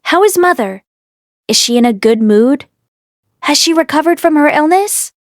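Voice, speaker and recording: Lady doing a hollow tired young guy, grieving, gentle, highish voice, audiobook drama. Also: lively intonation, moderate pace, no echo, clean studio sound. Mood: hollow grief. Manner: absent, weary, mumbling.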